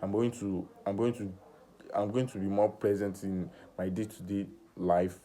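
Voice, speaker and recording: Halting nineteen-year-old man, mellow voice. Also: on the low side, level tone. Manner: halting, many fillers and restarts; earnest self-talk. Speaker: vlogger. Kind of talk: personal reflective vlog.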